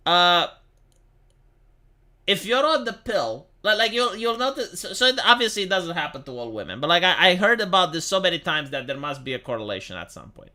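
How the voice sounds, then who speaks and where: A young streamer from Romania talks casually to his chat, stumbling over restarts and dropping swears as he goes. His voice is bright and sharp, with a lively pitch that swings widely, and he reads articles aloud between his own comments. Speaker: livestream host.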